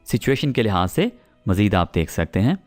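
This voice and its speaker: A man with a Pakistani accent teaching spoken English, explaining patiently and repeating example sentences slowly. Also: lowish voice, very animated, darkish tone, soft background music.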